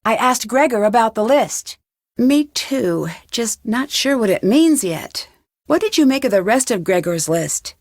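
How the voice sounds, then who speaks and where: A young American woman detective in a mystery game. Her voice sits a little high and she speaks clearly, with a polite, questioning manner. The scripted lines are captured dry, with hardly any room sound. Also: big pitch swings, unhurried, no room sound, heavily acted. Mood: inquisitive. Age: young adult. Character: young female amateur detective, polite, inquisitive, composed. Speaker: voice actress in a detective adventure game.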